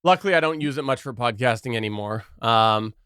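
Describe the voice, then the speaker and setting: A man co-hosting a casual podcast chat, with a loud, uneven delivery that jumps from near-mumbling to sudden bursts. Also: mid-range voice, brisk, clean tone, no room sound, studio quality. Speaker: podcast co-host.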